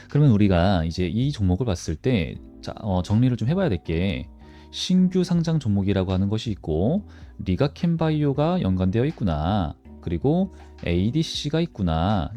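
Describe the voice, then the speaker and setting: Low, animated man explaining stocks. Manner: explanatory, poses questions and answers them. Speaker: stock analysis channel host. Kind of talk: informal market commentary to viewers.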